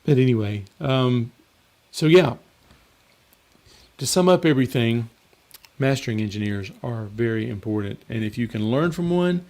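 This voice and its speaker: Gravelly, slightly hoarse man chatting. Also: mid-range voice, animated, normal speed, a little mellow. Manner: rambling and conversational, with frequent fillers and restarts. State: slightly hoarse, losing his voice. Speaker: mixing coach and show host. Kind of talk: casual live stream Q&A.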